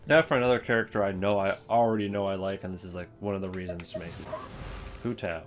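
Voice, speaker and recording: A man's voice: low voice, fairly even intonation, fast. A man giving casual, rambling commentary as a video creator, offhand and often interrupting himself. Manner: offhand, hesitant, self-interrupting. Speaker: video creator ranking game voice lines.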